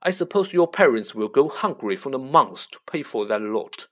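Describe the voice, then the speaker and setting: A man's voice: medium pitch, big pitch swings, moderate pace, fairly bright tone. Figure: a man doing a sneering, arrogant boy. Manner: retorting, scornful. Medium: audiobook.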